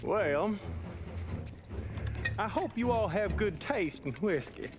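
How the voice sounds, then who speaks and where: An actor playing a courteous, chummy bootlegger who deals with gangsters in a video game. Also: male voice, sits high, animated, slow pace. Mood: friendly, smooth-talking. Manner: polite, chummy. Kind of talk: dramatic video game acting. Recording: music behind the voice.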